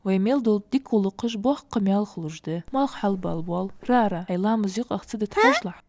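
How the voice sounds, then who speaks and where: A woman voicing a gentle, patient mother in a dubbed cartoon, with an instructive manner. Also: mid-range voice, sing-song, fast talker.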